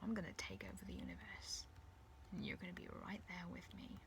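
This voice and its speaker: A woman's voice: lowish voice, lively intonation, a little fast, creaky, heavily acted. A woman voicing a menacing, mocking alien man who thinks himself a god, in a dramatised story reading. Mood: sinister, taunting. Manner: hissing whispers swinging into furious shouting.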